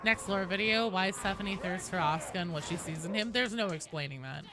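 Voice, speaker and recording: A woman's voice: on the low side, a little fast, poor audio. A woman live streamer chatting casually and wryly with her viewers while she plays a game. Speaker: live streamer hosting gameplay.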